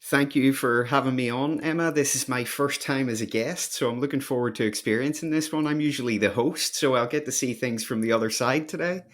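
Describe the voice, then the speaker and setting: A man's voice: medium pitch, brisk, few pauses. A man with a Northern Irish accent telling his story conversationally and emphatically as a podcast guest. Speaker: podcast guest, company founder.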